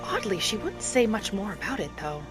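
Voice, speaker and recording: A woman voicing a gentle, hopeful young wood elf adventurer, narrating her journey softly and without hurry over background music. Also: mid-range voice, animated, lightly acted. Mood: purposeful. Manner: soft, unhurried, dreamy, earnest. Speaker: roleplay series host. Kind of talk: in-character scripted narration, storytelling. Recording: music behind the voice.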